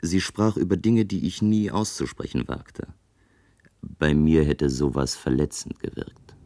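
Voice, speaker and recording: An actor playing a curious, reserved husband from a future society, narrating and talking politely and reflectively in a science-fiction radio drama. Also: male voice, extremely low pitch, lively intonation, unhurried, dark tone, dry recording, clean studio sound, exaggerated voice.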